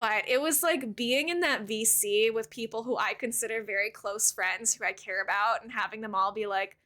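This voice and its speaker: Bright high-voiced chatty woman streamer. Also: moderate pace. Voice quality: high-pitched voice. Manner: chatty, rambling, many "like" fillers; warm toward chat, thanks new followers. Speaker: Twitch streamer. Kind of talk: casual live-stream chat while playing a game.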